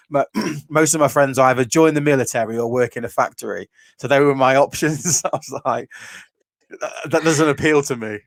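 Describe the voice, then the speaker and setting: A man's voice: mid-range voice, no room sound. A man in his thirties, a podcast host, telling his story in casual chat, laughing easily. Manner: laughs easily, restarts, acts out quotes.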